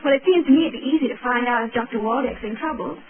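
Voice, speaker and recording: An actress playing a brisk, teasing, practical lady assistant to a sleuth in a 1930s radio drama. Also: a little high, a crowd in the background, close to an everyday voice. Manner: pert, no-nonsense. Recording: tinny 1930s radio recording.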